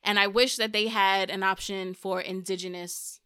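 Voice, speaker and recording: A woman's voice: mid-range voice, steady tone, moderate pace, sharp tone, clear, resonant tone, dry recording, clean studio sound. A young adult woman hosting a podcast, talking bluntly and emphatically in casual chat, swearing freely. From Dominican and Puerto Rican family, raised in the US. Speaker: podcast host.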